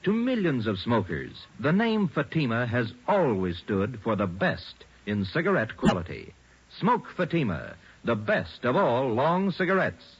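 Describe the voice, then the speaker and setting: A man delivering a polished, persuasive sales pitch. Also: very animated, a little slow, breathy edge. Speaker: commercial announcer. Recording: dull old radio transcription.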